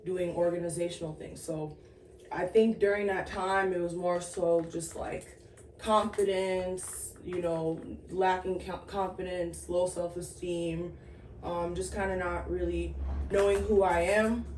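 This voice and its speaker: A woman in her thirties with a lowish voice talks things through as an interview guest, pausing and restarting as she reflects. She sits away from the microphone in an echoey room. A faint hiss runs underneath. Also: fairly even intonation, darkish tone, recorded from a distance. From Sudan, raised in the Twin Cities. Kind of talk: casual interview.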